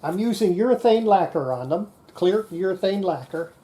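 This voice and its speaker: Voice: mid-range voice, expressive, normal speed, dark tone. A person narrating hands-on restoration work in a casual way, talking through each step while working. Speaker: host demonstrating radio restoration.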